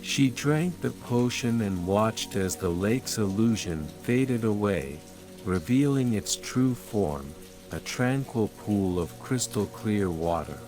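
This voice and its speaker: Slow bedtime storyteller, man over music and rain. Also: on the low side. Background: rain and calm music.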